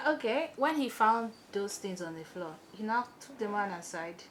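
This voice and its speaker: A young woman with a Nigerian accent telling an animated story, chatting as she does her makeup. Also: medium pitch, a little hiss or hum. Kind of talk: storytime while doing makeup.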